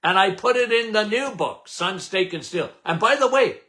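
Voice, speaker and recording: An older man from Canada hosting a podcast, loud and emphatic, with a habit of repeating "okay?". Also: high voice, lively intonation, moderate pace. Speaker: podcast host. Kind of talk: casual live talk.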